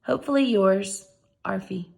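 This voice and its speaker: A woman's voice: mid-range voice, moderate pace, creaky, darkish tone. A woman voicing a hopeful young male dog from a storybook, earnest, pleading and playful. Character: eager, hopeful young male stray dog, polite and cheeky.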